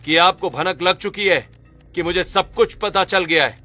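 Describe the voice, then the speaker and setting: A man's voice: high voice, a little slow, bright, forceful tone, faint music behind. A man playing an earnest young rich son-in-law in a dramatic, emphatic cartoon story style. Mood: stern, shocked. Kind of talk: Hindi cartoon story acting and narration.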